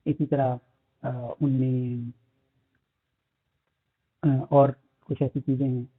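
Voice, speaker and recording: A man with a Pakistani accent, teaching phonetics in a lecture. He mixes English terms into his explanations, uses many fillers and reads English lines slowly. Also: medium pitch, little pitch movement, husky. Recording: tinny phone-like audio.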